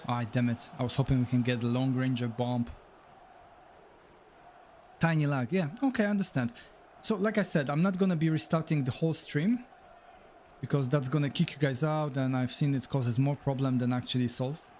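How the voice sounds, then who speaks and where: A man's voice: mid-range voice, normal speed, darkish tone, slight background noise. A man with a Polish accent streaming a football game, reacting to the match and chatting with viewers. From Poland. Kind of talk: live gameplay commentary and chat.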